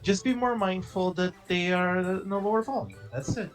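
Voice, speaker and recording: A man's voice: sits high, animated, normal speed, soft background music. A man playing a tabletop game who jokes around, teases and swears casually. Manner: jokey and teasing, swears casually. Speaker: player.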